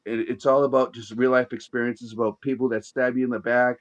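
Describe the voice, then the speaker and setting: A man's voice: mid-range voice, little pitch movement, dull audio. Middle-aged boston guy rambling on, lots of uh and um, stops and restarts. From Boston area, Massachusetts. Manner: rambling, halting with restarts and fillers. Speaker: guest. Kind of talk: casual chat.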